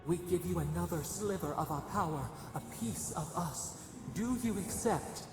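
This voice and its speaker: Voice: medium pitch, expressive, creaky. An actor voicing an imprisoned ancient spirit that speaks as many, solemn and cryptic, in a video game cutscene. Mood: urgent, beckoning. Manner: slow, cryptic, solemn short phrases. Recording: long cavernous reverb, music behind. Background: music.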